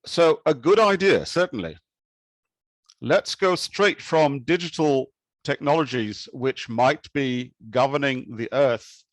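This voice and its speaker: A man from Kenya giving an academic talk with slides, speaking in a measured, thoughtful way with occasional restarts. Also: mid-range voice, no echo. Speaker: conference speaker.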